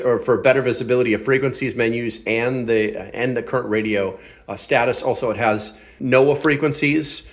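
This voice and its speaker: A man hosting a casual hands-on product review, talking in an informal, off-the-cuff and self-deprecating way. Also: medium pitch. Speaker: host of a gear review channel.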